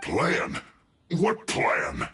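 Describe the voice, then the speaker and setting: A man playing a beleaguered, indignant henchman in an anime video game, theatrical, halting and full of protest. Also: mid-range voice, wildly swooping pitch, sharp tone, roomy sound, heavily acted. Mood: flustered. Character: beleaguered, indignant male henchman insisting on innocence.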